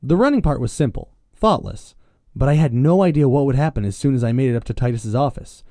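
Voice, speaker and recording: A man reading a dramatic first-person story in an earnest, measured way. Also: medium pitch, animated, warm tone, dry recording, clean studio sound. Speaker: story narrator.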